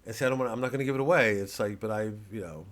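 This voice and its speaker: Male comic, lowish register, loose off-the-cuff rambling, sardonic and halting, talks straight to the listener. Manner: halting, digressive, peppered with "you know" and "man"; sardonic, mocking, profane when worked up. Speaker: comedian promoting his show. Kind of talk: rambling monologue to camera.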